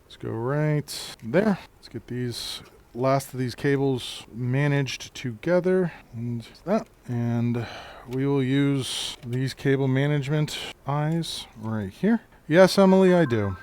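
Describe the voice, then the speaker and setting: A deep-voiced man, a computer repair technician, talking through a live-streamed repair at his shop bench in a relaxed, chatty way and answering his chat. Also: takes it slowly.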